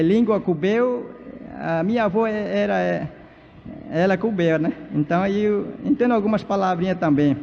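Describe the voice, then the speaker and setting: A man whose first language is Baniwa, a pastor and missionary from Amazonas, preaching at a church conference in halting speech full of fillers and restarts. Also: high-pitched, vocal fry, a little mellow, a little hiss or hum. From Amazonas, Brazil. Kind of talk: sermon at a church leaders' conference. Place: large church hall. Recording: dull, reverberant church PA recording.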